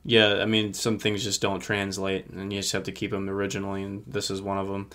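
Young man, low voice, rambling casual talk, swears a lot, sore throat. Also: completely flat delivery, brisk, a bit bright. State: sore throat, feeling sick. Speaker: podcast co-host. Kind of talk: casual music review chat.

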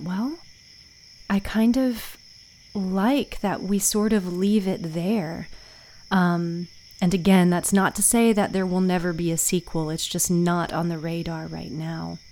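A woman speaks as a podcast host in a casual, self-mocking way. Her pace is slow and her pitch sits in the middle. She sounds like she is thinking aloud as she goes. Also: dark tone. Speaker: host and author of a fiction podcast. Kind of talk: casual podcast talk and recording outtakes.

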